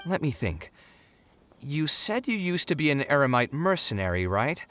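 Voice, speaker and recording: A man's voice: a little high, big pitch swings, moderate pace, a bit bright. A man playing an idealistic young architect, earnest and fussy, who explains his design ideas at length. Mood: practical. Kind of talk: game voice acting.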